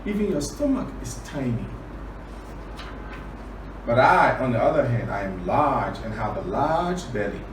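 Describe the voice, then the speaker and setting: Man voicing a boastful big elephant, swooping pitch. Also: very animated, measured pace, pauses often. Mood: boastful, scornful. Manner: bragging, emphatic. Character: big boastful male elephant, quarrelsome, later alarmed. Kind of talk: children's storybook character voice. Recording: roomy, distant, clearly noisy recording.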